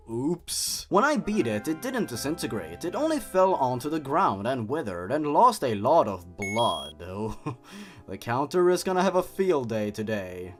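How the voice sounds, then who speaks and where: Animated man reading with sarcastic asides. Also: mid-range voice. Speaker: host. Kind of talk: dramatic reading of a horror story with mocking asides. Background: music.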